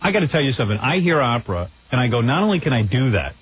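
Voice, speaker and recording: A man's voice: medium pitch, big pitch swings, rapid-fire, husky. A man hosting a radio show, joking bluntly and self-absorbed in casual banter. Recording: tinny phone-like radio recording.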